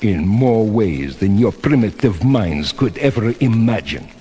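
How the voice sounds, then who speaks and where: An actor playing a haughty, pompous professor and deluded conquistador villain, with formal theatrical diction in a seventies superhero audio drama. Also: male voice, fairly low pitch, big pitch swings, unhurried, dark tone, slightly rough, full character voice. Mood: pompous, sarcastic. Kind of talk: 1970s superhero record audio drama acting. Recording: reverberant hall sound with background hiss.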